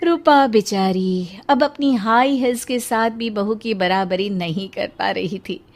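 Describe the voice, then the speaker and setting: A woman's voice: mid-range voice, unhurried, soft background music. A woman reading scripted story narration.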